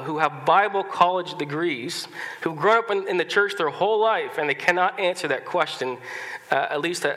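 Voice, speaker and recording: Stuffy-voiced pastor in a reverberant church. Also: male voice, fairly high pitch, bright, forceful tone. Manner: conversational, many restarts and fillers, occasional coughs and throat-clearing. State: stuffy-nosed from a cold. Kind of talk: sermon. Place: church sanctuary. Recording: long reverb of a church hall.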